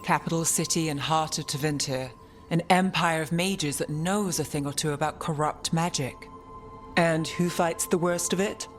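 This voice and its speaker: An actor playing a cool, dry-witted investigator in a fantasy video game, wry and matter-of-fact. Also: male voice, a little high, moderate pace, soft background music, lightly acted. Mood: confident, wry.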